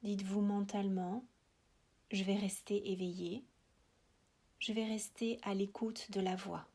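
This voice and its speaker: A woman with a medium-pitched voice guides a deep relaxation session. Her delivery is very slow and hushed, in short measured phrases with pauses between them. The ends of her phrases often soften into a breathy near-whisper. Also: level tone. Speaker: yoga nidra relaxation guide.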